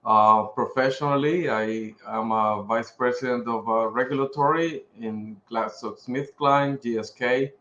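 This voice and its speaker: A man's voice: medium pitch, steady tone, a little slow, few pauses, a bit bright. Male podcast guest, middle-aged, Puerto Rican accent, conversational, slightly muffled recording in an echoey room. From Puerto Rico. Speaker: podcast guest, pharmaceutical executive. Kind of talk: casual interview conversation. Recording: roomy echo, slightly dull sound.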